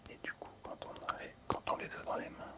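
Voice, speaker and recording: A man speaks entirely in a breathy, unvoiced whisper. He presents items in an ASMR style, soft and hesitant, lingering on words and repeating them. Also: a little fast. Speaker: ASMR video host.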